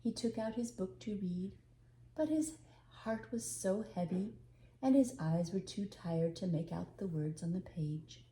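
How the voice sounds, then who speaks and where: Older female storybook narrator: relaxed dark tone, expressive reading, roomy recording with some faint noise. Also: medium pitch, a little slow. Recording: echoey room, faint background noise.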